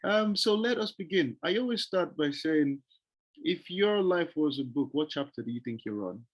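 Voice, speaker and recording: A man's voice: fairly high pitch, lively intonation, moderate pace. A man hosting an interview show, upbeat, rephrasing and repeating his questions. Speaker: host.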